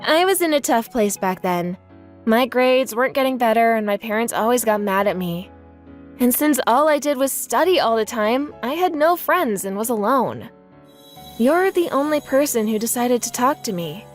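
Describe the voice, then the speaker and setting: A woman's voice: fairly high pitch, animated, normal speed, soft background music, close to an everyday voice. A woman playing a kind, grateful young woman, an old school friend, in a manga dub. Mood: tender, grateful.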